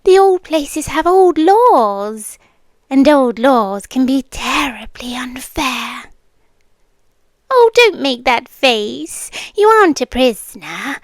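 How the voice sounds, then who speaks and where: An ancient, possessive supernatural girlfriend acted by a woman speaks in a high British voice. She draws her words out very slowly, teasing and taunting in an intimate ASMR style that often slips toward whispering. The sound is close and dry, with a smug menace underneath. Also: no room sound, heavily acted. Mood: soft, ominous. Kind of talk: ASMR roleplay.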